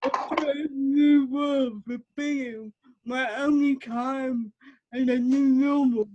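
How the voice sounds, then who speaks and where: A woman reading her poem aloud over a video call, in a measured, lingering way. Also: high voice, darkish tone, lo-fi sound. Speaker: poet reading. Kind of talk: slow poetry reading.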